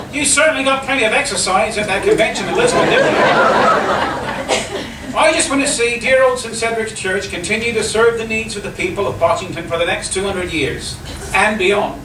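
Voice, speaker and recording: Man playing a sly, high-pitched newspaperman, echoey. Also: expressive, crowd noise. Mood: smug. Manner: measured, mock-polite, insinuating. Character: sly, smooth blackmailing newspaperman with polite menace. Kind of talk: live comedy radio play performance. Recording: echoey distant live recording, faint noise.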